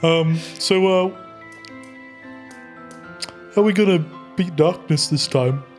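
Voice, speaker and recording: A man's voice: a little high, slow pace, faint music behind. A man playing a muddled but well-meaning fantasy hero, talking hesitantly and rambling through improvised tabletop roleplay.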